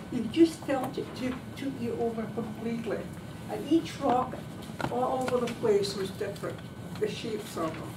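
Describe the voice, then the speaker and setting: Woman public speaker, slightly high voice, dramatic storytelling in short emphatic phrases, noisy room recording. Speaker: club speaker giving a prepared speech. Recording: distant, echoey room recording with background noise.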